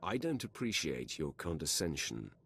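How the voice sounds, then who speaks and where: A cold sith warrior, really deep voice, haughty and curt, game noise behind him. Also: male voice, sing-song, moderate pace, vocal fry, fairly bright tone, exaggerated voice. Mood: cold defiance. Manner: haughty, menacing, terse. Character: cold, arrogant male Sith warrior apprentice, menacing. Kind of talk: video game voice acting.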